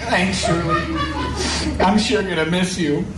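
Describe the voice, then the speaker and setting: A man's voice: high-pitched, steady tone, unhurried. A man playing a hapless, melodramatic male barfly in a comedic radio drama, earnest in delivery. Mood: wistful, friendly. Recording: roomy, distant, loud music behind.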